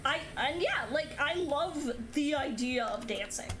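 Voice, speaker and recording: A woman's voice: high voice, animated, measured pace. Chatty young woman, noisy recording. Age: young adult. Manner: chatty, laughs often, storytelling. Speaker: streamer host. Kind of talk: casual gaming chat.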